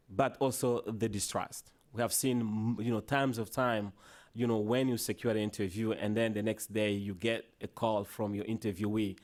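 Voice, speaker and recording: A man whose first language is Swahili gives a conference presentation with slides, in a halting way full of restarts and "you know" fillers. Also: fairly low pitch, a little mellow. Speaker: conference panelist. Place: conference hall.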